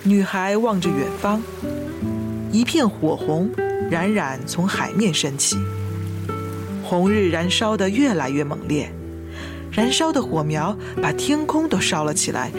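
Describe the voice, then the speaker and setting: Female drama narrator over loud music. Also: fairly low pitch. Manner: lyrical, storytelling. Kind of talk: scripted radio drama narration. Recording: loud guitar music behind the voice.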